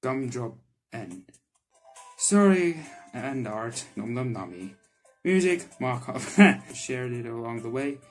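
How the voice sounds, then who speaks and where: A male gaming video maker with a medium-pitched voice. He reads visual novel text aloud and comments casually. Faint game music runs underneath. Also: lively intonation, slow pace, a little mellow, poor audio.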